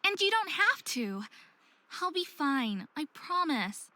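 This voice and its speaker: A woman's voice: high-pitched, sing-song, slow, pushed and bright, long reverb tail, full character voice. A woman playing an earnest, stubborn and curious young woman of faerie descent in a visual novel game. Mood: pleading, resolute. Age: young adult. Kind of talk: visual novel voice acting with inner monologue.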